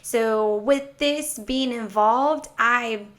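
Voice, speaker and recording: A woman's voice: a little high, drawn-out words, fairly bright tone. A woman in her twenties from Texas, a podcast guest talking casually and upbeat, rambling a bit and laughing often. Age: 20s. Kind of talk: casual conversation.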